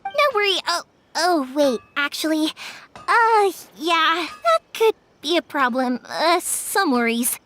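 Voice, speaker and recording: Energetic young shopkeeper, talkative and upbeat; very high, lively delivery. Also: female voice, takes it slowly, full character voice. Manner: bubbly, talkative. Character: cheerful young fireworks-shop owner, energetic. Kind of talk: video game voice acting.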